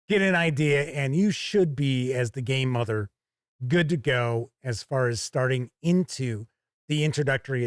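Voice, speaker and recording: A man giving an explanatory game review, talking through rules in short, measured, emphatic phrases. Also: on the high side, no room sound, clean studio sound. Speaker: board game reviewer and host.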